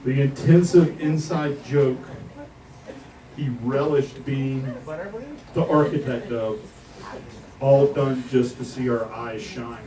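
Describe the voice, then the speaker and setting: A man giving a eulogy at a memorial gathering in a deliberate, declarative way, with dramatic pauses between phrases. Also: medium pitch, steady tone, crowd noise. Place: bar venue, captured from the audience. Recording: distant mic in a noisy bar.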